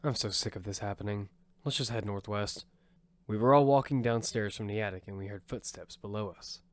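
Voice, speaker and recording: A man's voice: lowish voice, brisk, slight background noise, natural voice. A man voicing Damian, a young battle survivor, narrating the story in first person in an earnest, matter-of-fact way. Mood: steady. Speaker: narrator and lead character. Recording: loud music score behind.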